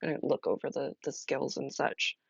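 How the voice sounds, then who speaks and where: A woman streamer chatting casually as she explains a solo role-playing game, halting and thinking aloud. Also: very deep voice, level tone, creaky, husky, no echo, muffled recording.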